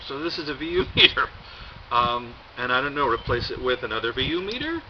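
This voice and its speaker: Low-voiced American man, noisy lo-fi recording. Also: a little fast, creaky. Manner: wry, deadpan humour. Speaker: video host. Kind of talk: casual rambling show-and-tell. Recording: dull lo-fi audio, loud background noise.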